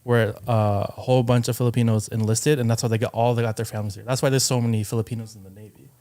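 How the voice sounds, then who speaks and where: A man from Southern California with an American accent, explaining things informally as a podcast guest in a thoughtful, halting way. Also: mid-range voice, little pitch movement, dark tone. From Southern California, United States. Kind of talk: casual conversational explaining.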